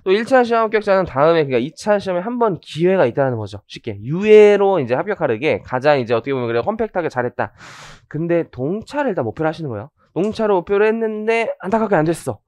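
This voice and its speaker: A hoarse man in his thirties giving a chatty orientation lecture on tax law, with joking asides and many fillers. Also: a little high, big pitch swings, quick pace, few pauses, a little mellow, no echo. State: hoarse from rhinitis.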